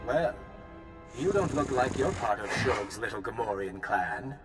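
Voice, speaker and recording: An actor playing a smug, menacingly polite villain in a video game, silky and theatrical. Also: male voice, fairly low pitch, expressive, measured pace, loud music behind the voice. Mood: coolly menacing. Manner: theatrical, mock-courteous, silky.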